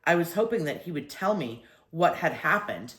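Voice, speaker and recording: An older woman with a low voice reads a children's story aloud. Her intonation is very animated, with deliberate phrasing and stretched emphasis on key words. The recording sounds roomy and echoey. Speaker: grandmother reading aloud. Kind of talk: expressive children's story reading.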